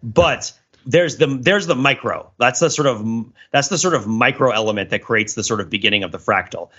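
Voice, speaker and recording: Man, middle-aged, bright edgy tone, casual analytical podcast talk, discursive, frequent check-ins, mildly lo-fi recording. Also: mid-range voice, a little fast, husky. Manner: rambling, discursive explainer who often checks in with "right?". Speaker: podcast co-host.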